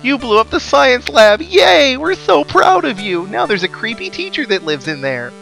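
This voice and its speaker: Excitable video game townsfolk, acted out by a man cheer with exaggerated glee. The voice jumps high and loud. Game music plays behind it. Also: normal speed, vocal fry, full character voice, rough recording. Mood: gleeful.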